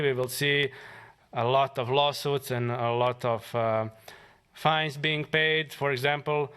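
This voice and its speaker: A man from Croatia with a Croatian accent, a member of parliament giving a press conference statement haltingly, with fillers and restarts. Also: medium pitch, fairly bright tone, clean tone.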